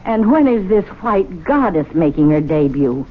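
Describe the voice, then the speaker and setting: A woman's voice: on the low side, very animated, moderate pace. An actress playing a weary wife who talks to her husband in a reproachful, exasperated way. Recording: tinny 1970s radio broadcast.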